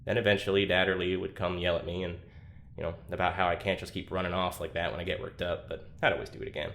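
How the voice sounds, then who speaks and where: A troubled young man confides in an audio drama monologue. His voice sits fairly low and he speaks haltingly, doubting himself with dry sarcasm. A light bed of ambient noise runs behind him. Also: little pitch movement, a bit bright. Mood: weary, withdrawn.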